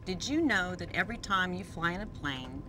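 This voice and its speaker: A woman's voice: lowish voice, animated, normal speed. An American woman, an air traffic controller, delivering a scripted introduction to camera. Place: indoor control room.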